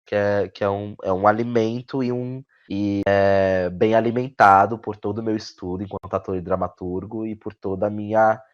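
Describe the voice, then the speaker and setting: A man's voice: fairly low pitch, steady tone, normal speed, few pauses. A man with a Brazilian accent gives reflective interview answers, searching for words, repeating phrases and often ending on tag questions. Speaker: guest playwright and actor. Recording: dull, lo-fi audio.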